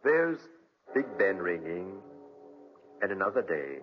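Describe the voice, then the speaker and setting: A man's voice: low voice, big pitch swings, slow pace, heavily acted. A dull old radio recording with faint music behind a worried cellmate. His medium voice swings expressively as he cautions with urgency. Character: concerned, plain-spoken male prison cellmate. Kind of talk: 1940s radio drama acting. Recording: muffled 1940s radio recording.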